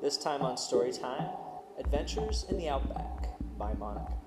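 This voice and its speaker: A man narrating a first-person story in an expressive, dramatic way as host of a story show. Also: medium pitch, normal speed, over loud music. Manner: dramatic, animated on exciting parts.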